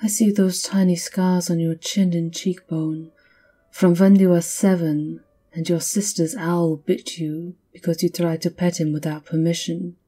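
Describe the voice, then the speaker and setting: Lady doing a cruel old vampire countess for asmr, lowish and husky, imperious, teasing, kinda whispery. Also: level tone, mellow. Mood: hushed, knowing. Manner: imperious, slow, teasing, often dropping to breathy whispers. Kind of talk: ASMR roleplay monologue. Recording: violin music behind the voice.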